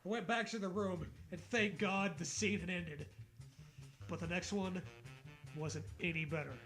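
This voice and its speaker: A man's voice: high-pitched, steady tone, normal speed, fairly bright tone. A man reading a story aloud in a casual, mocking, sweary way as a comedy co-host. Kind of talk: casual comedic read-along with commentary. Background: background music.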